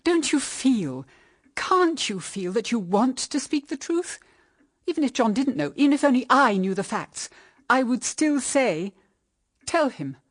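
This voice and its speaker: A woman's voice: high-pitched, sing-song, unhurried, dry recording, clean studio sound, full character voice. An actress playing a stern, moralising middle-aged English mother in a period radio drama, with formal, emphatic diction. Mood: stern urging.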